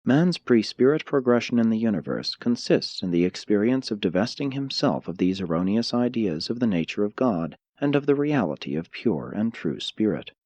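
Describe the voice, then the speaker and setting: A man narrating an audiobook, reading formal scripted philosophical prose in a measured, declarative manner. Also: on the low side, sing-song, a little mellow, dry recording.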